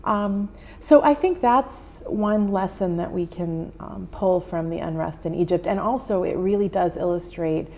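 Guest: American woman, 30s, journalist; low mellow voice with some fry, measured with filler pauses, studio lapel mic. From United States. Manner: thoughtful, many "um" pauses, gestures while talking. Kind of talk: studio interview answers. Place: TV studio set.

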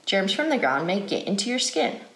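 An American woman reading a picture book aloud to children as an educator, phrasing deliberately in a guided read-aloud style. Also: medium pitch, very animated. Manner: deliberate, clearly phrased, asks questions. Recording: roomy indoor echo.

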